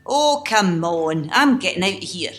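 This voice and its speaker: A woman playing a feisty, sceptical but kind-hearted working woman in an amateur radio play, brisk and incredulous in manner. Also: lowish voice, animated. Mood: astonished.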